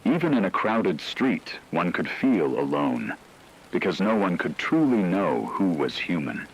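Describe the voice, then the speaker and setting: A man narrating a scripted apocalyptic story in a solemn, measured way. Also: low voice, sing-song, slightly rough, rough recording.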